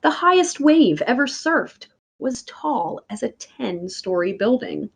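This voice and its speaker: Female children's read-aloud voice, unhurried, very expressive intonation, emphatic teacher delivery. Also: fairly high pitch, gravelly, slightly rough. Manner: deliberate, emphatic phrasing addressed to young listeners. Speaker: teacher reading a picture book aloud.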